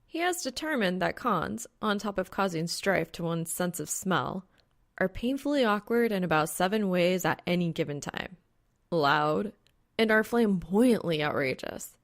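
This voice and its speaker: A woman's voice: on the low side, expressive, measured pace. A woman reading scripted fan fiction aloud as an audiobook narrator.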